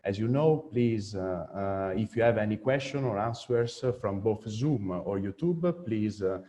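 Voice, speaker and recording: A man moderating an online event, introducing the speaker in a halting way with many fillers. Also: on the low side, animated, warm tone.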